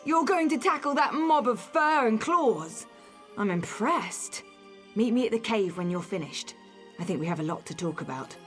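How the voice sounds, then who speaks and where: Casting: young female game fugitive, feisty, wry and guarded, expressive moving pitch, light music in the background. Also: moderate pace. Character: wary, sardonic, spirited young female fantasy fugitive. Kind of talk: video game character dialogue.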